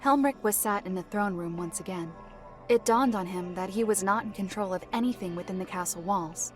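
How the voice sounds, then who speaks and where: Woman as formal seer telling a tale, careful and composed, mid pitch, faint music behind. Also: brisk, natural voice. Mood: grave storytelling. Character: composed, formal female seer recounting events. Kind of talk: visual-novel audio drama storytelling.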